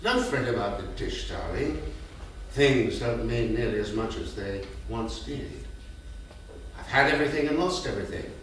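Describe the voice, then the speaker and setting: An actor playing a gentle, wry, widowed grandfather in a staged reading. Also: mid-range voice, lively intonation, moderate pace, recorded from a distance, natural voice. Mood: gentle, reflective. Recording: echoey, noisy theatre, picked up from afar.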